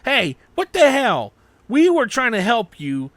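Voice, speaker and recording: A man voicing a hot-headed young swordsman from an anime story, belligerent and yelling, spoiling for a fight. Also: very high-pitched, wildly swooping pitch, measured pace, no room sound, pitched above the performer's own voice, heavily acted. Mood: angry.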